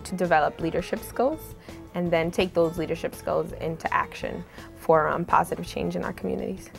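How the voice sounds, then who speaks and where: Woman, program staff, earnest, explanatory, very low pitch. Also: brisk, gravelly, soft background music. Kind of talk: interview explanation.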